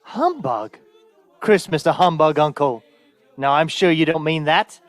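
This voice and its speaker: A bright-spirited, good-natured nephew acted by a man in a radio play, high for a man and very animated. His delivery is hearty and earnest, recorded close and dry with some music behind. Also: measured pace, no echo. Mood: teasing, good-natured. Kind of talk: theatrical radio play acting.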